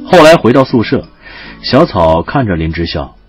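A man narrating a campus romance audio drama as a gentle storyteller, his phrases trailing off softly. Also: on the low side, sing-song, moderate pace. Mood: tender, dramatic. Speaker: narrator and actor. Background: music.

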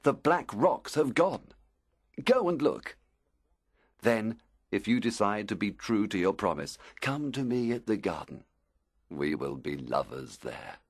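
A lovesick young gentleman from a storybook, acted out by a man. He pleads earnestly and ardently in a fairly low voice with lively, wide swings of pitch, recorded very dry. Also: unhurried, no room sound, full character voice.

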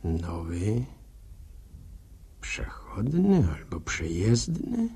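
A man playing a curious village postman who muses to himself, husky and rustic, in a 1960 radio drama. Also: on the low side, huge pitch swings, extremely slow, mellow, heavily acted. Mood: musing, curious. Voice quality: husky, gravelly. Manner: rustic musing aloud, talking to himself.

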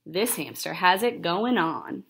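A woman's voice: lowish voice, animated, takes it slowly, vocal fry, a bit bright, slightly rough, full character voice. A young woman voicing a cocky, chatty male pet hamster in a storybook, self-satisfied and asking and answering himself. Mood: gleeful.

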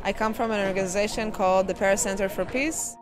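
A woman in her twenties from Israel, speaking haltingly with many fillers in an outdoor interview. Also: medium pitch, fairly even intonation, music in the background, a crowd in the background. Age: 20s. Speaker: youth worker. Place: outdoors by a sports field.

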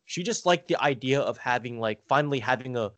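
A man telling family stories casually as a podcast guest, halting and rambling, saying "like" often. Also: mid-range voice, lively intonation, a bit bright, no echo. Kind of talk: casual conversational storytelling.

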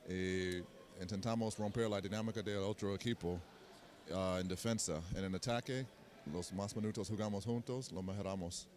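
Man in halting, non-native Spanish. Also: on the low side, steady tone, a little mellow. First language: English. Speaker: foreign basketball player. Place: indoor basketball arena. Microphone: handheld mic held by interviewer.

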